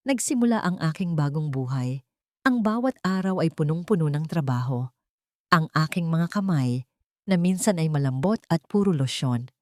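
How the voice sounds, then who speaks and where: Woman telling a sad drama story, measured and dramatic, bit low, super dry recording. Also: darkish tone, dry recording. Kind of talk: scripted first-person narration, sentimental melodrama.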